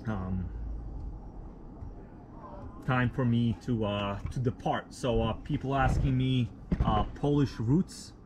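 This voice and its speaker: A man from Russia, a native Russian speaker, hosting a casual live stream outdoors at night, rambling in a relaxed, slangy way with many fillers. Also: mid-range voice, takes it slowly, long pauses, noisy background. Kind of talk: casual live stream chat, reading viewer comments.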